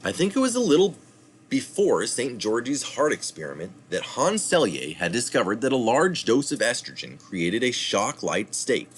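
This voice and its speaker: A man's voice: medium pitch, very animated, normal speed, slight background noise. A man reading a science article aloud, adding the citations and the odd slip or aside. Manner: reads citations aloud with small slips and asides. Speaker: reader of health articles.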